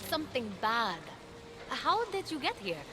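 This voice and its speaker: A woman's voice: high voice, sing-song, a little slow, noisy background. A woman playing a brave, eager and caring young heroine in a video game cutscene. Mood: urgent, excited. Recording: loud game music underneath.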